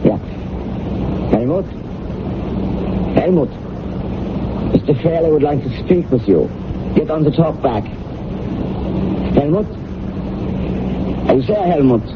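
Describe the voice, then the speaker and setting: A man's voice: on the high side, expressive, takes it slowly, long pauses, muffled recording, very noisy. A man playing a dutiful, businesslike sound engineer in a 1970s radio drama, on an old broadcast recording.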